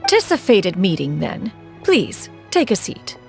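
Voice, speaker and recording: An aloof immortal lady from a fantasy game. Her speech is formal and archaic, with precise diction and lively, swooping intonation over soft-to-clear music. She sounds sure of her own superiority. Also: medium pitch, unhurried, full character voice. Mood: composed, lofty. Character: haughty, formal immortal adeptus woman, aloof and self-assured. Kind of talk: video game voice acting.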